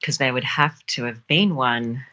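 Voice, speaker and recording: A woman with an Australian accent and a low, husky voice, chatting casually as an author being interviewed, in a self-deprecating, giggly way. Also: measured pace, bright, forceful tone, muffled recording. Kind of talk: casual chat.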